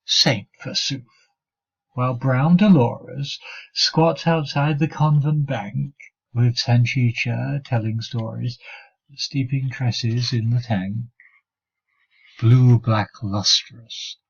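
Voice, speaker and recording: A man reciting a dramatic poem as a jealous, sneering monk who seethes with hatred for a fellow brother, in a husky voice. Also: mid-range voice. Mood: scornful, sarcastic. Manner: sneering, sarcastic, growling asides. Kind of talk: dramatic poetry recitation, 19th century monologue. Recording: dull lo-fi recording.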